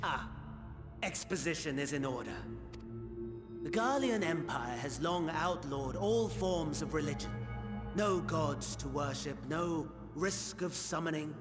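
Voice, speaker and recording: Theatrical mocking schemer; high-pitched, unhurried, over game music. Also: male voice, animated. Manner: sneering, theatrical, playful mockery. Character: gleeful mocking male villain, theatrical schemer. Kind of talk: video game cutscene acting. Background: orchestral game score.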